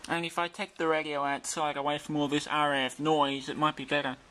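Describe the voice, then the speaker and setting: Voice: mid-range voice, level tone, fairly quick. A person chatting casually while demonstrating an old radio, with static noise behind. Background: shortwave radio static.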